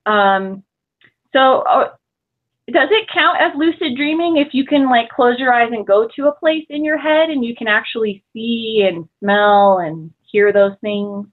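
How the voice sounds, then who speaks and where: A woman's voice: a little high, a little slow. A woman in her twenties, a guest, chatting casually and thoughtfully over a call. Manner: thoughtful, hesitant, laughs easily. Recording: tinny call audio.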